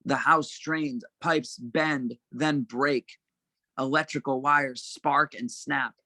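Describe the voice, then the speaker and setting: A man reads scene headings and action lines as narrator of an amateur table read. His mid-pitched voice is bright with a creaky edge, recorded fairly dry. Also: fairly even intonation, takes it slowly, no echo. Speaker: narrator reading stage directions.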